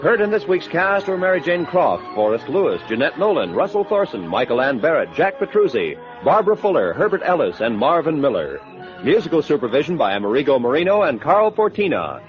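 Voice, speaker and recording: A man's voice: fairly high pitch, sing-song, brisk. A man announcing on 1950s radio in a formal, polished scripted style. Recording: dull vintage radio recording. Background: music under the voice.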